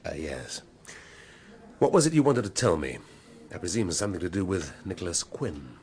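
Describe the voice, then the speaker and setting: An actor playing a middle-aged English police inspector in a radio drama, asking clipped, hesitant questions with a gruff awkwardness. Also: male voice, deep voice, very animated, brisk, darkish tone. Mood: probing. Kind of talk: naturalistic British radio drama acting.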